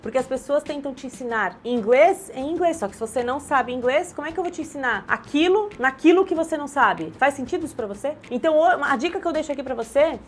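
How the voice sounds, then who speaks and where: Brazilian lady teacher in her 30s, talks super fast and chatty, keeps telling stories to the camera. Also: high voice, slight background noise. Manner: animated, chatty storytelling. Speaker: English teacher. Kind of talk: direct-to-camera sales talk.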